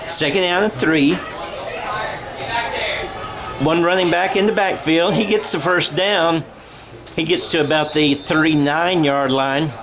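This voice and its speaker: A man doing radio-style football play-by-play, excitable, thinking aloud and correcting himself as he follows the action. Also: a little high, moderate pace, crowd noise.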